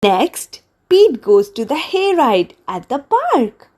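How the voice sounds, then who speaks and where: Slow, lively high-voiced woman storyteller. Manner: slow, deliberate storytelling for young children. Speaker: children's book reader. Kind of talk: expressive read-aloud storybook narration.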